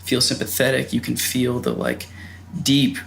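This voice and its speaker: A young man with a gravelly voice and a lively pitch speaks thoughtfully and measures his words. There's a faint hiss behind him. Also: unhurried, low-quality recording. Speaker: podcast guest. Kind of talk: casual chat. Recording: faint noise.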